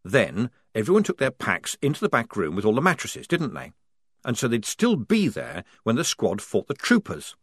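A man reading an audiobook, voicing a quick-witted, tense young woman soldier who talks fast and insistently. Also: mid-range voice, huge pitch swings, slightly rough, no room sound, clean studio sound, exaggerated voice. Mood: urgent. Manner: hurried, insistent. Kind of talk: audiobook character voice.

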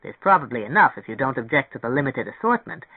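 A narrator voicing a practical, reserved older man in an audiobook, calm, measured and matter-of-fact. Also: medium pitch, husky, dry recording. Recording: thin, phone-like band-limited audio.

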